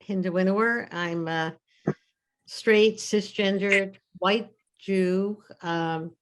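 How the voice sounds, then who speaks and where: An older woman, a family therapist from Brooklyn, introducing herself on a video call with many pauses and fillers. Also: mid-range voice, steady tone. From Brooklyn, New York. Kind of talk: casual self-introduction.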